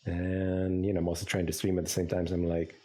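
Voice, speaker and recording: A man with a Greek accent talks through a game on a live stream in a very deep, low voice. He rambles and thinks aloud, with lots of ums and trailing thoughts. He speaks into a close desk mic indoors at home. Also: monotone, quick pace, warm tone. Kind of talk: casual live-stream commentary.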